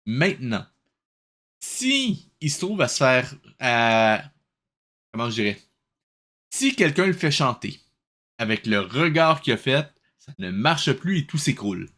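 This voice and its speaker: A man's voice: mid-range voice, big pitch swings, unhurried, pauses often, fairly bright tone. A man with a Québécois accent hosting a reaction show, giving casual, incredulous and colloquial running commentary.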